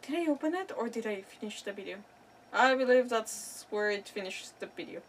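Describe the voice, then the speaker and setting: Female streamer, casual self-interrupting commentary, raised pitch, slightly noisy recording. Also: expressive, brisk. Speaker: game streamer. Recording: distant mic, game noise behind.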